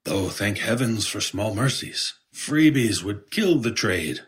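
A man's voice: on the low side, big pitch swings, takes it slowly. A man playing a mild, nervy bookstore clerk with a hesitant, dryly joking manner in a radio drama. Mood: wry. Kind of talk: radio drama dialogue, conversational.

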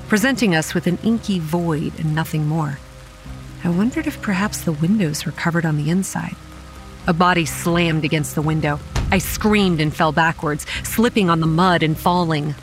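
A woman's voice: deep voice, natural voice, rough recording. A young woman played by an actress tells a horror story in the first person, as a campground manager on edge. Her delivery is wry and given to sardonic understatement, with music behind her. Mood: shaken, dread. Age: young adult. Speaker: narrator and lead character. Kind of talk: first-person horror audio drama narration. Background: rain and music.

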